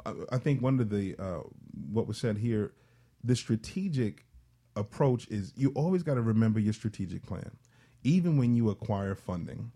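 A man's voice: fairly low pitch, expressive, normal speed, dark tone. A man speaking as a panel guest, giving casual advice in a jokey, conversational manner.

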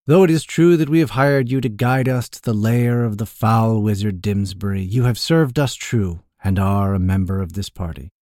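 A man's voice: on the low side, moderate pace, hardly any pauses, darkish tone, dry recording, clean studio sound, close to an everyday voice. Man putting on a pompous, bombastic knight. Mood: solemn, contrite. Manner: pompous, archaic grand speech. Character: bombastic vain male knight, grandly heroic.

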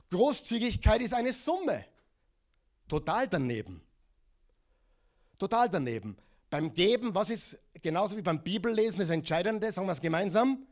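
A middle-aged preacher with an Austrian accent and a fairly high voice. He preaches with lively energy, mixes stories with questions, and has the congregation repeat key words back to him. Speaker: pastor. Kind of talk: sermon.

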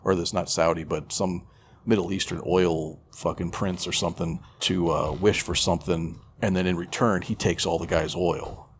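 Deep-voiced, foul-mouthed man rambling casually. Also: fairly even intonation, moderate pace, a little hiss or hum. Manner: profane, irreverent, rambling. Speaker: podcast co-host. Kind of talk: casual chat, retelling a film plot.

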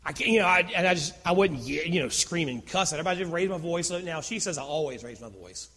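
A man preaching a sermon as pastor, with a naturally loud voice that swings from fervent emphasis to hushed near-whispers. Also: on the high side, lively intonation, fast, long reverb tail, crowd noise.

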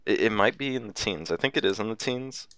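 A man's voice: lowish voice, a little fast, fairly bright tone, clean tone, no room sound. A young man co-hosting a podcast, chatting loosely, joking and swearing casually. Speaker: podcast co-host. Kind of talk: casual chat.

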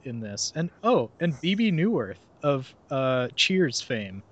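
A man's voice: mid-range voice, sing-song, slow, fairly bright tone, dull audio. A young man hosting a podcast, chatting casually and reading a summary aloud with sarcastic asides, often laughing mid-sentence. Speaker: podcast host.

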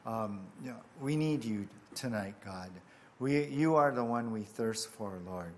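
A man's voice: mid-range voice, animated, a little slow, slight background noise. A man whose first language was Japanese, a pastor opening with prayer and then giving a conversational, self-deprecating sermon full of restarts.

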